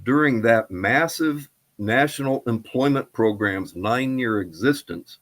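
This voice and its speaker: A man's voice: mid-range voice, unhurried. A man in his seventies speaking as a guest historian and presenter. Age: 70s. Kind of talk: prepared presentation and interview answers.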